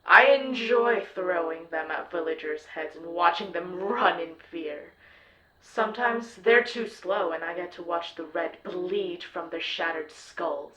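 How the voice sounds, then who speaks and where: A woman's voice: lowish voice, unhurried, pushed and bright. A woman playing a sadistic, gleefully violent ghost girl in an audio drama, taunting and menacing. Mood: taunting glee. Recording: dull lo-fi sound with roomy echo.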